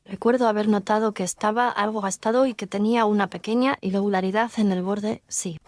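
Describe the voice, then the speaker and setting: A woman's voice: mid-range voice, fairly even intonation, a little fast, no echo, lightly acted. A woman playing an earnest young Victorian fiancée giving her testimony politely and confessionally in an audio drama. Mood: earnest, confessing. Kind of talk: Spanish audio drama mystery acting.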